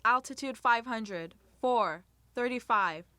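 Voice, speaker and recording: A woman's voice: a little high, slow pace, bright, forceful tone, dry recording. A woman playing a dutiful spaceship crew officer in a radio drama, calling out readings in a clipped, procedural way. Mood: brisk, dutiful. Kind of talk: radio science fiction acting.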